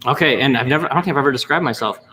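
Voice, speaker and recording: A man's voice: medium pitch, very fast, pushed and bright, a little hiss or hum. A man being interviewed as a guest, talking in a playful, laughing way. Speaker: guest, angel investor. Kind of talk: casual interview chat.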